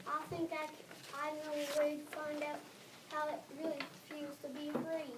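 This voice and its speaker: A young girl reading the part of an enslaved farmhand who longs for freedom, in a halting school readers theater delivery. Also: sits high, level tone, lightly acted. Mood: hopeful. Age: child, about 8 to 9. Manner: halting read-aloud with repeated words. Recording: echoey noisy room from far off.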